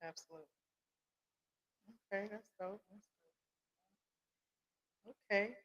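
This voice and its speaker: Male podcast host, slightly higher voice, responsive, frequent affirmations. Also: a little slow, pushed and bright, muffled recording. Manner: responsive, lots of short affirmations. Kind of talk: interview, reactive listening.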